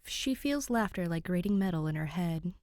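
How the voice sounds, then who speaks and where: A woman's voice: lowish voice, lively intonation, normal speed, darkish tone, dry recording. A woman reading a story aloud as a scripted fiction narrator. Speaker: podfic narrator.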